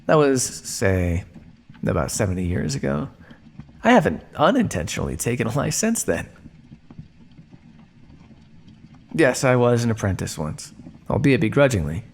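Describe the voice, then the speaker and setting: Man playing a smug, gravelly vampire scientist. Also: mid-range voice, big pitch swings, a little hiss or hum. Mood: matter-of-fact, cool. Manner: smug, measured, teasing, theatrical. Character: condescending, coolly menacing male vampire scientist captor. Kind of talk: ASMR roleplay monologue addressed to listener.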